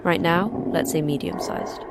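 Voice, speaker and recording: An actress playing a terse, sarcastic manager of a secret facility in an audio drama, with a dry, curt delivery. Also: female voice, on the low side, measured pace, very noisy, close to an everyday voice. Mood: dry, sardonic. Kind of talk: audio fiction acting.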